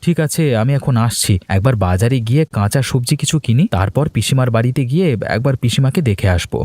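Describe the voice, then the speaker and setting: A man's voice: mid-range voice, animated, quick pace, a little mellow, no room sound, close to an everyday voice. A man voicing a hard-up, dutiful, henpecked husband in a moral cartoon. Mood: friendly. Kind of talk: Bengali moral cartoon dubbing.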